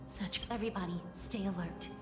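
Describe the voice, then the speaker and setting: A woman playing a grave, courteous and watchful young warrior in a fantasy game, with measured, formal phrasing. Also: mid-range voice, fairly even intonation, roomy sound, over music, natural voice. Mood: wary. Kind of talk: solemn fantasy game voice acting.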